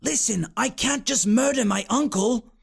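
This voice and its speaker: A man's voice: high-pitched, expressive, normal speed, gravelly, husky, voice pushed up, heavily acted. A man voicing a polite, earnest young boy in a storybook reading.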